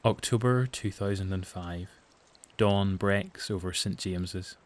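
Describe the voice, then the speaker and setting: A man's voice: deep voice, a little slow. A man with a Northern Irish accent giving scripted, dramatic narration for an audio drama. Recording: noticeable background noise.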